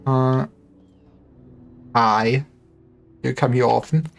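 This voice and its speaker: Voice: medium pitch, steady tone, extremely slow, gravelly. A livestreamer giving casual, wry commentary on a game, with joking asides. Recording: faint music behind. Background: game music.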